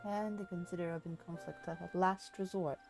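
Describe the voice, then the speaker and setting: A woman's voice: on the low side, fairly even intonation, gravelly, music in the background. Woman reading as a grave, formal game storyteller. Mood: grave, measured. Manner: measured, formal, archaic wording. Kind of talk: reading game dialogue aloud.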